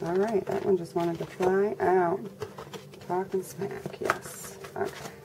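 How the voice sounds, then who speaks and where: A congested woman giving a casual tarot reading to her viewers in a flat, monotone way. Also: slow, gravelly. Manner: monotone, low-energy delivery. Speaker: tarot reader. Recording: noisy recording with birds chirping. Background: birds and background noise.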